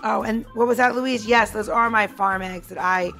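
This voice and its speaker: A woman with a fairly high voice and plenty of vocal fry demonstrates cocktails on a podcast. She is chatty and casual, guiding the listener step by step, with a little music in the background. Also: fairly even intonation, moderate pace. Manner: chatty, talks the listener through each step. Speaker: podcast co-host and bartender.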